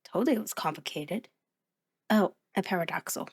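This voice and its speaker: A woman's voice: lowish voice, expressive, moderate pace, slightly rough. A woman voicing a sentient mirror reflection in an ASMR audio roleplay, speaking directly to the listener in a conversational, hesitant, explanatory way. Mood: chatty, reassuring. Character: sentient female mirror reflection, sheepish, chatty, patient explainer.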